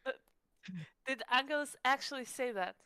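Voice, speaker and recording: A clear, bright woman's voice, fairly high and recorded close and dry. She talks casually as a player in a tabletop game, asking the others questions. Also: normal speed, no room sound.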